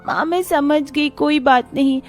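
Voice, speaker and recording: A woman voicing a sweet, polite, earnest young schoolgirl in an animated moral story. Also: high voice, a little slow. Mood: gentle, understanding. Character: sweet, earnest poor schoolgirl, patient and easily hurt. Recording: music behind the voice.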